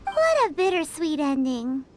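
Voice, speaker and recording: Tiny brash game fairy: squeaky, extremely high, swooping childlike delivery over music. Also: female voice, wildly swooping pitch, a little slow, background noise, heavily acted. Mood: sheepish. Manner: refers to self in third person, exclaiming. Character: tiny excitable childlike fairy companion, female, cheerful and brash. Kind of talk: video game voice acting. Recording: game audio with music behind.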